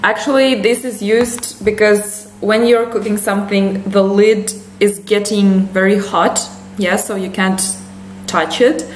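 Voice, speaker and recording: Young woman, Russian accent, native Russian speaker, casual lesson, unhurried, webcam in an echoey small room. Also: medium pitch, fairly even intonation, slight background noise. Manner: chatty, laughs often, many fillers. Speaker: language teacher.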